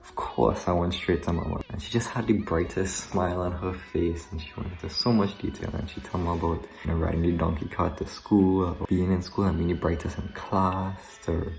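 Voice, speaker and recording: A woman's voice: extremely low pitch, steady tone, brisk, vocal fry, hardly any pauses, darkish tone, poor audio. A woman telling personal stories as a grandchild in a farewell tribute.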